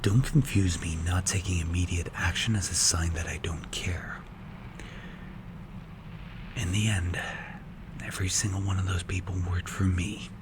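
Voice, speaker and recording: A man playing a strict, calculating mafia boss in an ASMR roleplay, speaking in a hushed, breathy, measured and menacing way. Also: low voice, fairly even intonation, noisy background, close to an everyday voice. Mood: stern, menacing. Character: strict, cold, calculating male mafia boss mentoring a protégé.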